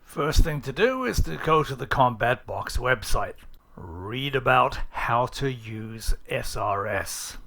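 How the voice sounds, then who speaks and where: A man's voice: mid-range voice, expressive, slow pace, creaky, pushed and bright. A man narrating a how-to guide in a casual but scripted, plain-spoken and instructive way. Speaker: tutorial presenter.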